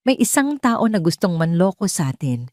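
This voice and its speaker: A woman's voice: fairly low pitch, very animated, normal speed, a little mellow, dry recording, studio quality. Earnest male doctor, played by a woman narrator, defiant and declamatory, for dramatic audio story. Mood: pleading, earnest.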